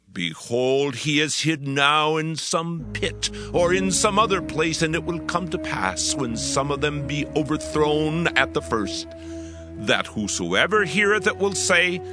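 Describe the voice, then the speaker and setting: A crafty king's advisor, slow and swooping, music under it. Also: on the high side. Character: Hushai, a shrewd royal counselor. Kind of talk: dramatized scripture reading. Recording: music bed behind voice.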